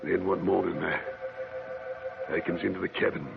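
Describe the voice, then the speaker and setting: An actor playing a jealous, violent desert prospector with a drawl in an old radio drama. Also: male voice, deep voice, steady tone, moderate pace, music in the background. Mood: brooding. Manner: rustic drawl, shouting threats. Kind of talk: 1940s radio acting. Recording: tinny old radio with clear hiss.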